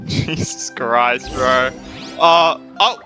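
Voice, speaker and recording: Casual gameplay commentator, bright voice, muttered exclamations and informal reactions, light game music behind. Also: medium pitch, extremely slow. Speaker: gaming streamer.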